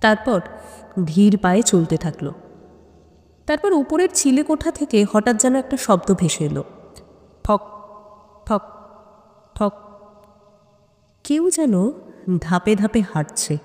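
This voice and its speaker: A woman's voice: medium pitch, big pitch swings, takes it slowly, pauses often, a little mellow, in a large hall. A woman reading a scripted suspense story as narrator, with a dramatic, suspenseful delivery.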